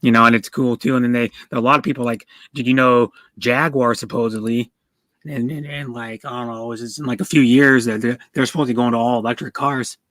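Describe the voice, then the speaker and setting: Man, average pitch, casual livestream chat, rambling, stammering repeats, dry sound. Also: fairly even intonation, a little fast, a bit bright, no echo. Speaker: streamer.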